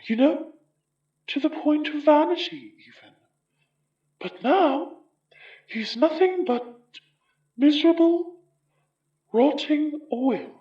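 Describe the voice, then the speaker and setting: A woman's voice: sits high, dark tone, distant mic. A woman playing an arrogant, mocking aristocratic spirit with a British accent in a horror audio drama, pompous and taunting. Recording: dull, roomy, off the mic.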